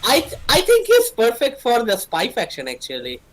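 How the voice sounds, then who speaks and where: A woman's voice: on the high side, huge pitch swings. A woman in a casual voice chat, teasing the others. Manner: teasing, quick to laugh. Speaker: collaborator in voice chat.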